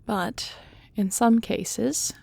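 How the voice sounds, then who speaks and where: A woman acts a gracious dragon queen for an audio roleplay. Her voice has a light fry at its edges and sits very dry. She speaks formally and with measured care, like a loving, earnest monarch. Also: on the high side, steady tone, darkish tone, no room sound, full character voice. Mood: tender, reflective. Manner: formal, measured, stately diction. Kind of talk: scripted audio roleplay acting.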